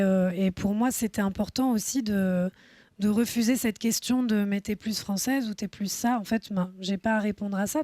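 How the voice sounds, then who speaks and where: A woman's voice: medium pitch, dark tone, no echo. A woman in her thirties, a film director from France, speaking thoughtfully at a festival panel with frequent hesitations. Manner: thoughtful, hesitant with many fillers. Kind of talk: panel discussion.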